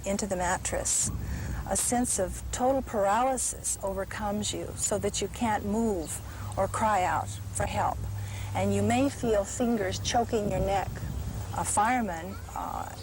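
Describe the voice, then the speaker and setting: A woman's voice: mid-range voice, measured pace, noisy background. A woman telling a ghost story, addressing the listener directly.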